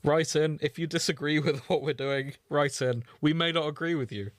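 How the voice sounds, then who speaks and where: A man's voice: fairly high pitch, normal speed, no echo, clean studio sound. A man co-hosting a podcast in casual chat, teasing and swearing freely. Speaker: podcast co-host.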